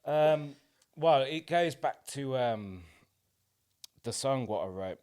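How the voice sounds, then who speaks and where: A man's voice: mid-range voice, lively intonation, takes it slowly, darkish tone. A man in his forties speaking as a podcast guest in reflective, casual interview chat, with a hesitant, informal manner. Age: 40s. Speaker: podcast guest, playwright and actor.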